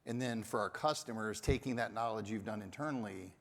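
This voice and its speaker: Man, panelist, low pitch, brisk, hall reverb. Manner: brisk, jargon-heavy, quick-fire. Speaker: business leader, panelist. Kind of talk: panel discussion. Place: conference stage.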